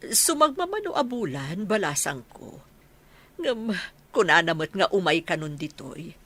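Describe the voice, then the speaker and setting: Radio drama mother, older, low and husky female actor, tender yet indignant, with big swings in pitch. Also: sing-song, measured pace, sharp tone, exaggerated voice.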